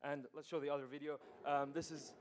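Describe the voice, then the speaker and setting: A man's voice: fairly high pitch, level tone, fairly quick, a little hiss or hum. A man in his early twenties giving a casual, hesitant student presentation at a conference, with many fillers and restarts. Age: 20s. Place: conference hall.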